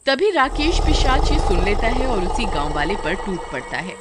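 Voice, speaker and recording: Animated woman narrating a spooky story. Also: medium pitch, normal speed, very noisy. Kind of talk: dramatic scripted narration of a horror-comedy story. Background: background music.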